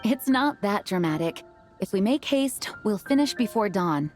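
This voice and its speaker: A woman's voice: medium pitch, lively intonation, normal speed, darkish tone, close to an everyday voice. Earnest, formal lady knight, acted by a woman. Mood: polite, dutiful. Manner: polite, composed, formal. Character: earnest, dutiful young female knight leader, modest and formal. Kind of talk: video game voice acting. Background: music.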